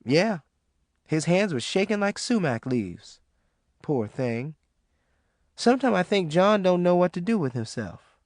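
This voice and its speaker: A man's voice: high-pitched, very animated, a little slow, pauses often, a little mellow, no room sound, studio quality, pitched above the performer's own voice. A man putting on a fearless matriarch. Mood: boastful. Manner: bold, emphatic dialect speech. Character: fearless tough older Southern woman, boastful.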